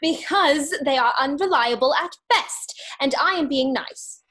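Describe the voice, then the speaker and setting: A woman's voice: high-pitched, unhurried, pushed and bright. A woman playing a stubborn, guarded young warrior with a curt manner in a fan table read. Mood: guarded, irritated.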